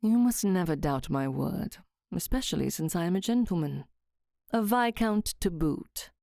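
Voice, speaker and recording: A woman's voice: fairly low pitch, animated, moderate pace, gravelly, mellow, dry recording, studio quality. A woman doing a breezy, self-assured young viscount.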